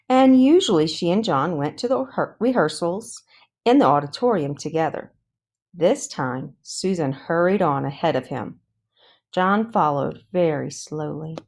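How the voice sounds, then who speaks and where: A woman narrating a children's book aloud in a careful, deliberate read-along style. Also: on the low side, darkish tone. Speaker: storybook reader.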